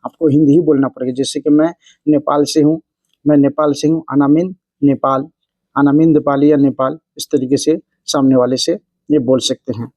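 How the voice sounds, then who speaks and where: A man giving a casual spoken language lesson, repeating each example phrase slowly and explaining it word by word. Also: fairly high pitch, little pitch movement, warm tone, no room sound, rough recording. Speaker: Arabic language teacher. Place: Saudi Arabia.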